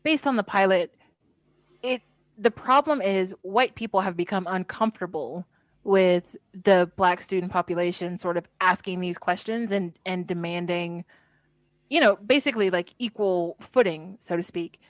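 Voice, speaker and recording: A woman in her thirties co-hosting a podcast in casual chat, blunt and animated, swearing when something annoys her. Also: fairly low pitch, normal speed, no room sound, poor audio. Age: 30s. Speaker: podcast co-host.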